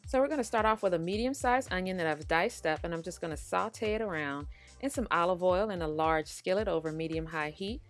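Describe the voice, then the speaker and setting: Casting: female cooking host, lowish voice, chatty informal recipe voice-over, light music bed. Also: fairly quick. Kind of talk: casual recipe tutorial narration. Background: background music.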